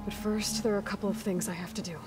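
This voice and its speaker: A woman's voice: medium pitch, fairly even intonation, quick pace, background noise, close to an everyday voice. An actress playing a determined, earnest young warrior woman in a cinematic video game, intense and resolute. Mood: firm, defiant. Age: young adult.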